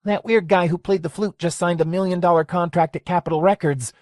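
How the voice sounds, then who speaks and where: A man's voice: high-pitched, fairly even intonation, fairly quick, a little mellow, dry recording, pitched above the performer's own voice, close to an everyday voice. A folksy, wary hangout owner , voiced by a man reading an audiobook, talks in a voice pushed well above the reader's own. The recording is close and dry. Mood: wistful.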